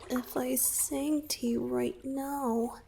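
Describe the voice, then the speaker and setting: Shy merman boyfriend played by a woman, romantic ASMR. Breathy, earnest, flustered, with creaky edges and noisy ambience behind. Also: high voice, steady tone, slow, warm tone, exaggerated voice. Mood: shy, confessing. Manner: hesitant, breathy, often dropping to a whisper.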